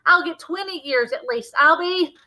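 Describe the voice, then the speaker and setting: Woman voicing a panicky boy, very bright and high. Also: normal speed. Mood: panicked wailing. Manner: dramatic, wailing and whining. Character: panicky, gloomy boy, easily scared, slow-thinking friend. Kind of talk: children's novel read-aloud.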